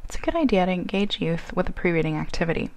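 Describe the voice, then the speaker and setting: A woman reading scripted instructional narration in a clear, explanatory way. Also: fairly low pitch, big pitch swings, fast, a little mellow, low-quality recording. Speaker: narrator of a training video.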